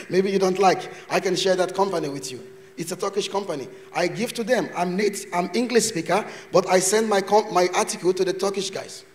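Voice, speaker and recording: A man's voice: high voice, normal speed, a little hiss or hum. A man giving a chatty conference lecture in loose English, mixing in Turkish words and acting out little scenes. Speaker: invited lecturer. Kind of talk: conference talk with Q&A. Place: university conference hall. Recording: reverberant lecture hall.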